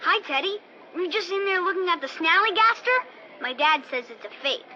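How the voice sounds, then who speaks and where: A woman playing a gullible, excitable young son with a whiny, wide-eyed manner in a radio drama. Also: very high voice, a little fast, exaggerated voice. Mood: excited. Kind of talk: old-time radio drama acting. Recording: dull radio sound, faint noise.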